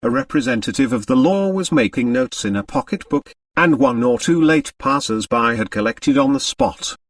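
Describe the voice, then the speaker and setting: Expressive male audiobook narrator, dry sound. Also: mid-range voice, moderate pace, no room sound, recorded from a distance. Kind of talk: scripted dramatic narration.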